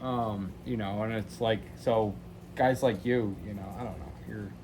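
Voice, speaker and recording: Low-voiced man in casual, rambling chat. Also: fairly even intonation, unhurried, noisy background. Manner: rambling, heavy use of fillers like "you know". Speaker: visitor asking questions.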